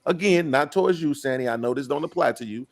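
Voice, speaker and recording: A man's voice: on the high side, fast talker. A middle-aged man on a podcast panel, talking bluntly and profanely in a preachy rant.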